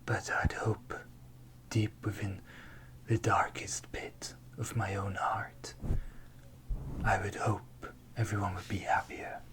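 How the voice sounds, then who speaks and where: A man whispering ASMR-style into a close microphone covered by a fluffy windshield, rambling softly with playful, self-mocking asides while he films himself on a phone. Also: lowish voice, level tone, slow. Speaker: ASMR creator.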